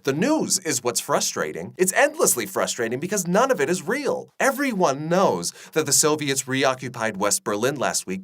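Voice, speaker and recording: An actor with an American accent playing an idealistic, dogged radio journalist in a radio play, earnest and impassioned. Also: male voice, a little high. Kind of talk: radio play acting and first-person narration.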